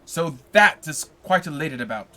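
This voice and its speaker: A man reading aloud puts on a crafty, jealous ship's officer from a storybook, who asks sly, probing questions in a bright, lifted tone. He needles and schemes with a sneer in every line. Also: fairly high pitch, expressive, normal speed.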